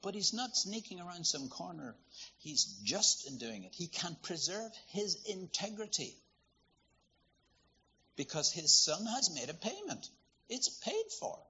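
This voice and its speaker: A man from Ireland, preaching a sermon in a lively, conversational, storytelling way. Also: high-pitched, a little slow, slightly rough, dull audio. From Northern Ireland.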